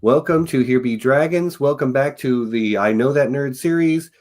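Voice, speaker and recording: A man's voice: medium pitch, normal speed, darkish tone. A man hosting a podcast, talking over a video call in a friendly, upbeat way. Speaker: podcast host. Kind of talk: casual interview chat.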